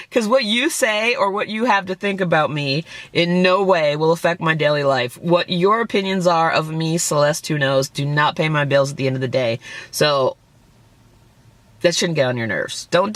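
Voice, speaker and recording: Hoarse, indignant woman with gravelly voice. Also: sits low, animated, moderate pace, fairly bright tone. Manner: indignant, blunt, swears when angry. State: hoarse, losing her voice. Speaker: vlogger responding to a critic. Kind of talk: defensive reaction video commentary.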